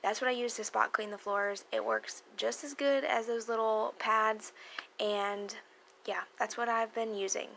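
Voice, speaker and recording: A woman's voice: a little high, fairly even intonation, a little slow, vocal fry, a bit bright, slight background noise. A young woman, a cleaning vlogger, casually talking over her footage and explaining what she is doing around the house. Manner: chatty, explains as she goes.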